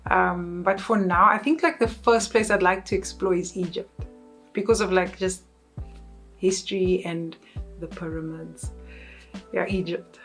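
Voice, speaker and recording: A woman's voice: medium pitch, a bit bright, low-quality recording. A woman in her thirties from South Africa with a South African accent, chatting casually to camera in an upbeat, self-correcting way. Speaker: YouTuber. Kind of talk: casual vlog chat.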